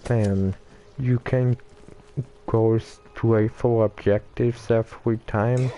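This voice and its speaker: A man giving casual gameplay commentary in a halting, stuttering way, with many restarts and fillers. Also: lowish voice, fairly even intonation, mellow, slight background noise, low-quality recording.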